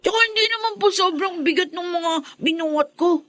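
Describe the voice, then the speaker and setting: A high, youthful voice playing a poor young Filipino boy in a radio drama. He is earnest, respectful and eager to help his family. The sound is close and dry. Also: brisk, bright, forceful tone, dry recording. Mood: eager, proud.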